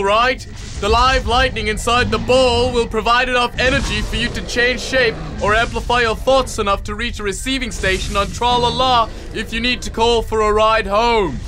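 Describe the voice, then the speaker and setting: Gruff sci-fi captain: bright, dismissive, bossy, music bed behind. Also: male voice, very high-pitched. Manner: sarcastic, commanding. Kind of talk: sci-fi audio theatre acting.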